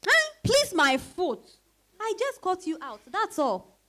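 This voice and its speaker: A woman performing an outspoken, teasing married friend in a dramatized reading, animated and exclamatory. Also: extremely high pitch, slow pace, a little hiss or hum, exaggerated voice.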